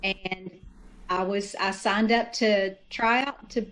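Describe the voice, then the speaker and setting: A woman's voice: medium pitch, steady tone, takes it slowly, fairly bright tone, poor audio. A woman who belongs to a community theater troupe, chatting casually over a video call. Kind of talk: casual chat.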